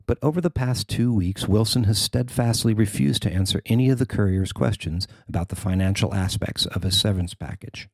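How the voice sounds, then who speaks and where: A man who works as a newspaper reporter, reading his own article as scripted narration in a measured, formal way. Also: fairly low pitch, vocal fry, a little mellow, no echo.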